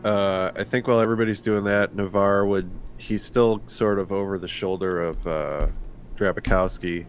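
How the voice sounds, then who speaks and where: A man playing a tabletop game, describing his character's actions in a deliberate, halting way. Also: deep voice, steady tone. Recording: noisy room recording.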